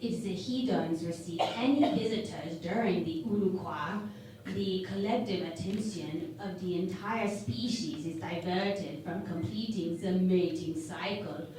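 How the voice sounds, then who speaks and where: An earnest, analytical female science officer, acted by a woman in a sci-fi radio parody. She speaks in a slow, deliberate, matter-of-fact way. The sound is very echoey and a bit distant. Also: on the low side, darkish tone, natural voice. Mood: grave, explanatory. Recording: very echoey room, distant mic, faint noise.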